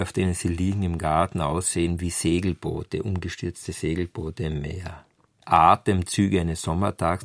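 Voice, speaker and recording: A man giving unscripted scholarly commentary on literature, halting and pausing between phrases as he thinks. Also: low-pitched, gravelly, no room sound, studio quality.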